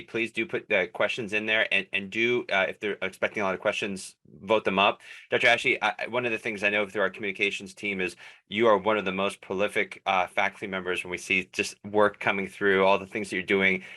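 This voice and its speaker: Low-voiced, bright-toned male moderator. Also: level tone, fairly quick. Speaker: moderator of medical grand rounds. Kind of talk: moderating a question session.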